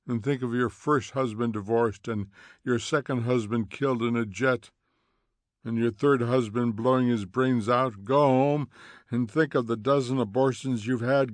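A bitter, worn fireman, as a male narrator reads him, giving harsh commands. The delivery is slow and heavy, recorded dry and close. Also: medium pitch, no echo, natural voice.

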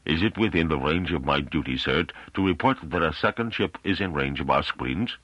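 A man's voice: rumbling low, very animated, brisk, a bit bright, slightly rough, dry recording, full character voice. A man voicing a big male underling, falsely humble and needling, in an audiobook with a dull recording. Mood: mock humble.

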